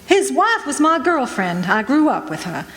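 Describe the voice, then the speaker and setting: A woman's voice: high-pitched, big pitch swings, normal speed, fairly bright tone, heavily acted. A woman playing a loyal, hard-edged friend of a victim, blunt and emphatic, in a radio drama. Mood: accusing, certain. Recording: echoey hall, faint stage ambience.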